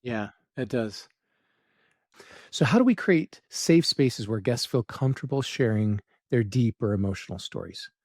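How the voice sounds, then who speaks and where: A man's voice: medium pitch, expressive, moderate pace, a little mellow, no room sound, studio quality. A man hosting a podcast interview, asking questions and encouraging his guest. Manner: affirming, encouraging. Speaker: podcast host.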